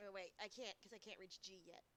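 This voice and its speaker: A woman's voice: mid-range voice, fairly even intonation, fast talker, pushed and bright, a little hiss or hum. A woman giving casual, playful playthrough commentary as a game streamer, thinking aloud into a streaming mic.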